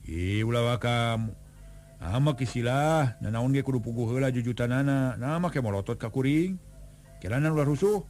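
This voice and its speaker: A man's voice: mid-range voice, normal speed, darkish tone, soft background music, natural voice. A man voicing a mighty strongman who speaks firmly and boasts of his strength.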